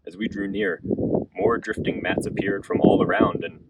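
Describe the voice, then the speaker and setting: A man with a gravelly voice reciting a rhymed sea ballad in a theatrical, measured storytelling manner. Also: high-pitched, big pitch swings, distant mic, lo-fi sound, very noisy.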